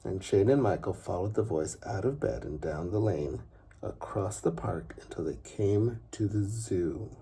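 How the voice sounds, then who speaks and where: A man reading a children's story aloud in an expressive, unhurried way with dramatic pauses and a husky, gravelly voice. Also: deep voice. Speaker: father reading a bedtime story.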